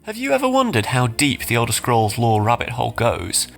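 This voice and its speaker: A man's voice: medium pitch, wildly swooping pitch, a little fast, vocal fry, soft background music. A man reading a scripted promo as a podcast host.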